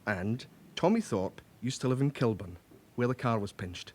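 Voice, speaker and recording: An actor plays a British male police collator in a radio drama. His voice is of average pitch. He lays out details methodically and sounds pleased with his records. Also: lively intonation, natural voice. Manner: measured, explanatory.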